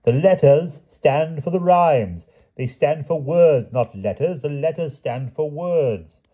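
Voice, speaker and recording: An exacting foreign knight from a storybook, pedantic and exasperated in formal, stilted phrases. The voice sits high and slightly husky, in dull lo-fi sound. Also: male voice, animated, slow, full character voice. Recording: dull, muffled lo-fi audio.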